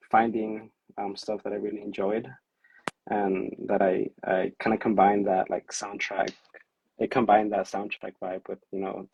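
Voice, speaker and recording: Husky, hesitant man on a video call. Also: lowish voice, dry recording, rough recording. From California. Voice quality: self-described monotone. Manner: hesitant, many fillers, self-described awkward and anxious. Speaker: musician on a livestream chat. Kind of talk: casual livestream chat.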